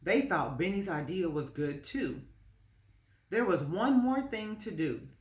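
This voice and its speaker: A woman reading a children's story aloud as narrator, in a measured, expressive storytelling manner. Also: fairly low pitch. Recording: roomy, echoing space.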